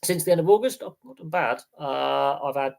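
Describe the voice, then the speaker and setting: A man hosting a casual livestream, talking in a rambling, halting way with frequent restarts and a slightly congested, sniffly voice. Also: fairly high pitch, creaky, a little mellow. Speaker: sports channel host.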